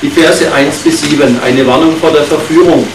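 A man, a native German speaker, preaching in short phrases and pausing for his interpreter. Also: fairly high pitch, fairly even intonation. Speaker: visiting preacher. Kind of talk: sermon given through an interpreter. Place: church hall. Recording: echoey hall from far off, noisy.

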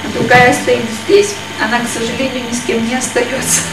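Woman, high voice, interpreting a sermon, steady careful reading, far off, echoey hall. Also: a little fast, noisy background. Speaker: interpreter, preacher's wife. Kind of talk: consecutive interpreting and scripture reading. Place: church hall.

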